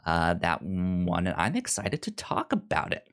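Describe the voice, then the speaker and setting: A podcast host talking casually through a review in a halting way, often stuttering and repeating the first sounds of words. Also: low voice, huge pitch swings, a bit bright.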